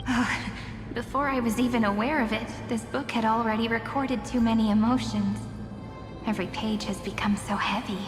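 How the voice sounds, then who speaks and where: A woman playing a gentle, wistful young storyteller in a fantasy game, speaking in a tender, reflective way. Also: on the high side, steady tone, moderate pace, natural voice. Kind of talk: dramatic fantasy game dialogue. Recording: echoey long reverb over music.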